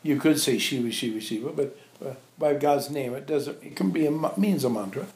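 A man informally reminiscing to visitors as a spiritual teacher, telling animated anecdotes and acting out remembered dialogue. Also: mid-range voice, fairly quick. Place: echoey indoor room at an ashram. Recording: roomy, echoey sound.

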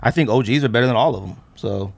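A man's voice: mid-range voice, fast. A man in a casual debate among friends as a podcast host, insistently defending his opinions.

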